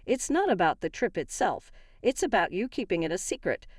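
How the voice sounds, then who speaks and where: A woman's voice: medium pitch, sing-song, brisk, no room sound, clean studio sound. A woman playing a wronged, indignant wife in a narrated story, argumentative and emphatic. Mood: bitter, firm. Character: wronged, indignant young wife confronting her in-laws. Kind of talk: acted dialogue in a narrated story.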